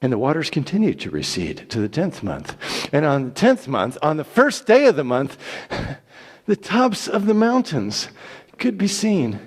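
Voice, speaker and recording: Male scripture reader, slightly higher-pitched, deliberate and theatrical, dramatic narration in a reverberant hall. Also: wildly swooping pitch, husky. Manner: theatrical, declamatory, deliberate phrasing. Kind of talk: dramatic Bible reading, storytelling narration. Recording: long echoing hall reverb.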